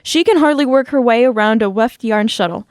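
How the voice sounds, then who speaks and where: A prickly, boastful weaver played by a woman in an audio comedy. The voice is fairly high and closely recorded with no room sound, haughty and unimpressed in its delivery. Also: fairly quick, clean tone, dry recording, clean studio sound, close to an everyday voice. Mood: boastful defiance. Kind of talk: comedic audio drama acting.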